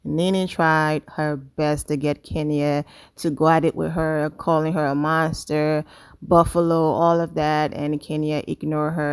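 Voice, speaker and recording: A woman hosts a celebrity gossip channel with a slightly low voice. She talks straight to her viewers in a chatty, emphatic way, as casual commentary. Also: smooth.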